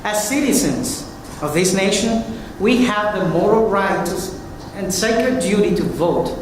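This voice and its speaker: Man, witness, earnest formal testimony; distant mic, boomy hall. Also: expressive, a little slow, noisy background. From Puerto Rico. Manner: earnest, reading a prepared statement. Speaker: hearing witness, military veteran. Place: large hearing room. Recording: echoey hearing room from far off.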